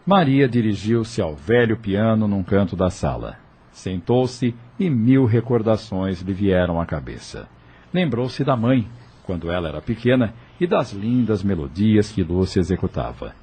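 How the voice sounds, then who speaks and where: A man's voice: fairly low pitch, sing-song, measured pace, dark tone, slightly rough. A man narrating an audio novel from a script in a storytelling style.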